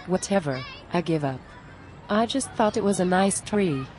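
A woman's voice: on the low side, lively intonation, moderate pace, darkish tone, some chatter behind, close to an everyday voice. A woman playing a down-to-earth, exasperated friend in a scripted cartoon dialogue, plain-spoken and conversational. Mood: resigned.

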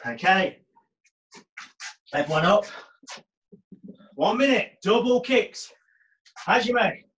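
A man's voice: high voice, animated, slow, fairly bright tone, a little hiss or hum, rough recording. A man coaching an online karate class from a small brick-walled gym, calling out drills and cheering students on as he trains with them. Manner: barking encouragement and commands while training. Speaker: karate instructor.

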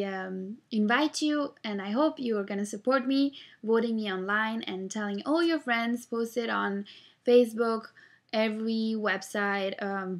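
A young woman from Switzerland who speaks Italian natively, chatting casually to a webcam and explaining things step by step with plenty of fillers. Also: a little high. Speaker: singer-songwriter. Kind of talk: casual vlog with screen walkthrough. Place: indoor room, webcam video.

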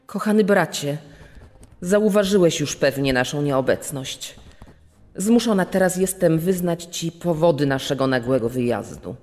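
A woman playing a letter writer confiding in her brother, reading in a solemn, measured way. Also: low voice, a little mellow. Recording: long reverb.

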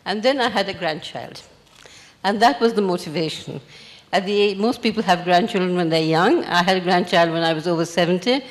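An elderly woman from India speaks at a measured, thoughtful pace into a handheld microphone on a large festival stage. Her words carry a long hall reverb behind them. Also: fairly low pitch. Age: 80s. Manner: measured, reflective storytelling. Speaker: panelist, memoir author. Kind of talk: panel discussion. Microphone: handheld mic.

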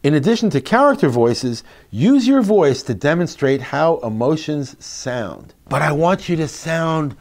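Middle-aged male educator, expressive and emphatic, very varied melody, explaining to parents. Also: fairly high pitch, a little slow, few pauses. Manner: expressive, emphatic, clearly phrased. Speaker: presenter of reading tips for parents. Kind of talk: instructional narration to parents, with expressive read-aloud demonstrations. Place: indoor classroom. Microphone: lapel mic.

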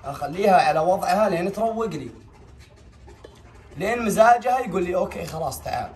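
A young Saudi-accented man talks casually and instructively to a camera a few steps away. His voice sits a little high. The sound is distant and strongly echoey, as in a small bare tiled room. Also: expressive, fast, darkish tone. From Saudi Arabia. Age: young adult. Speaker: big cat owner and vlogger. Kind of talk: casual explanatory vlog talk.

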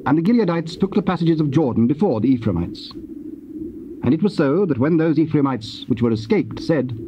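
A man's voice: on the high side, expressive. Solemn male scripture narrator on muffled tape. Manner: measured, formal. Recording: muffled old cassette, faint hiss.

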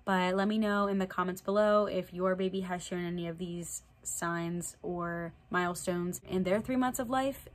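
Young woman vlogging with a slight cold. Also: lowish voice. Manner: chatty, plain, fillers like "so yeah". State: slight cold, a little nasal. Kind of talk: casual vlog update. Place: indoor room before a draped backdrop with string lights.

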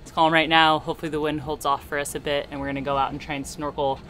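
A woman's voice: sits low, level tone, fast talker, fairly bright tone. A woman in her thirties explaining things casually as a vlog host, recorded close to a camera in an open-air sailboat cockpit. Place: outdoors in a sailboat cockpit under a canopy.

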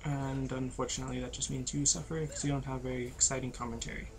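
Tired young man with a gravelly voice. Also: flat delivery, quick pace. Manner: low-energy, rambling with many fillers. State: tired, losing his voice. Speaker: gameplay commentator. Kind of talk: casual let's-play commentary.

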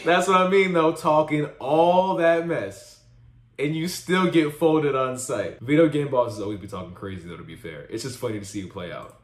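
A man's voice: fairly high pitch, normal speed, roomy sound, slight background noise. A man hosting a reaction channel, giving slangy, casual, conversational commentary. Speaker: host of a reaction video channel.

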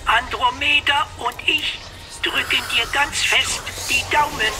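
A man playing a calm, condescending cybernetic superintelligence in a radio play, speaking in a measured, patronizing way. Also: very high-pitched, music in the background. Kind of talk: German radio play acting.

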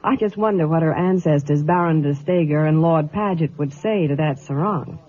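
A woman's voice: deep voice, normal speed, dark tone. An American woman giving scripted 1950s radio gossip and advertising with a chatty, polished sales delivery. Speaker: Hollywood reporter, ad pitchwoman. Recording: tinny, fuzzy old radio broadcast.

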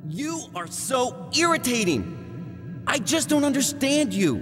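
A haughty, bitter monkey villain from a video game. The voice is very high and swings widely in pitch, full of theatrical sneering. It rings in long reverb. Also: male voice, wildly swooping pitch, takes it slowly, a bit bright, faint music behind, exaggerated voice. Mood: disdainful, weary. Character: arrogant, bitter villain monkey with mind-boosting helmet. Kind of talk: video game cutscene acting.

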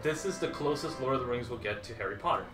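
A man's voice: medium pitch, expressive, quick pace, over music. A man in his thirties arguing his side in a casual debate and laying out figures. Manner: lays out figures, argumentative. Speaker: debater for the Lord of the Rings side. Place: small indoor room full of movie memorabilia. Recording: echoey room.

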